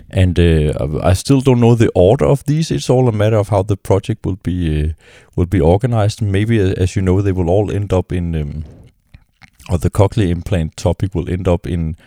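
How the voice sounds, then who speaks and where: A middle-aged man, hosting a casual solo podcast from his couch at home. His voice is lowered and husky after a weekend of singing, and he talks haltingly, with many restarts. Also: dark tone, no echo, clean studio sound. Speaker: podcast host.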